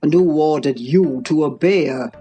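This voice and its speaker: A woman's voice: low-pitched, normal speed, dark tone, husky, lo-fi sound, faint music behind, pitched below the performer's own voice. A woman voicing a furious, domineering older man with a harsh, commanding manner in an audiobook. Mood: scornful, outraged. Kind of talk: dramatized audiobook reading.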